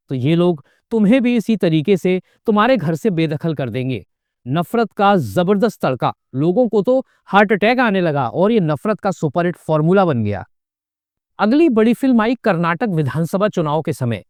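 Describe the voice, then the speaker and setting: A man's voice: sits high, no room sound, studio quality. A man narrating a scripted political commentary, pointed and emphatic, with sarcastic asides. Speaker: political video essayist.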